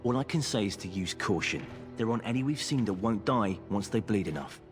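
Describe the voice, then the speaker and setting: A man's voice: lowish voice, animated, a little fast, lightly acted. A man playing a seasoned, plain-spoken soldier in a fantasy video game, briefing in a matter-of-fact, cautioning way. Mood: grave, matter-of-fact. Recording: game music behind the voice.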